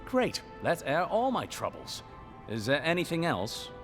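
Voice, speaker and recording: An actor playing a courteous, scholarly aide in a video game, speaking in a formal, precise and deliberate manner. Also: male voice, a little high, wildly swooping pitch, fairly bright tone, soft background music, exaggerated voice. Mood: curt, embarrassed. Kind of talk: game dialogue, measured exposition.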